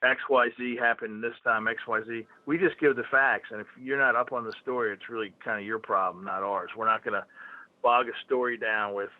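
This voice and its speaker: A man's voice: medium pitch, steady tone, fairly quick, no echo. A man with a Philadelphia accent, talking bluntly and profanely on a phone call. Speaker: phone guest. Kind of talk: casual phone conversation. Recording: tinny phone line.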